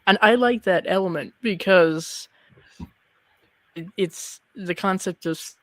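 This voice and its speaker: Male host, casual interview chat, halting phrasing, dry echo-free sound. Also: high-pitched, fairly bright tone.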